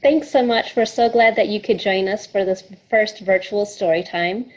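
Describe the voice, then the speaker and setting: A woman's voice: mid-range voice, moderate pace, darkish tone, lo-fi sound. A woman hosting a children's story time, reading aloud and counting along in an encouraging, sing-song way. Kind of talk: picture book read-aloud for children.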